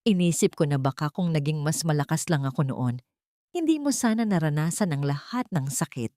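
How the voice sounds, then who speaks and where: A woman's voice: low-pitched, animated, brisk, warm tone, dry recording, clean studio sound. Woman voicing an earnest, lovestruck male billionaire. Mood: regretful, tender. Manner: pleading, tender, solemn. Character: earnest, devoted billionaire man in love.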